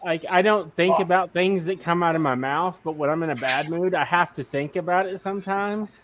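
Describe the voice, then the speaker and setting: A middle-aged man with a slightly higher voice chats casually on a live stream. He jokes freely and laughs often, keeping things loose and unfiltered. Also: brisk, background noise. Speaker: livestream host.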